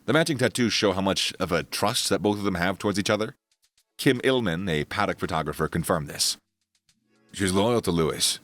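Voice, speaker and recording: Low-voiced man narrating sports news. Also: brisk, dry recording. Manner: steady read-aloud delivery, reads quotes in the same voice. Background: faint background music.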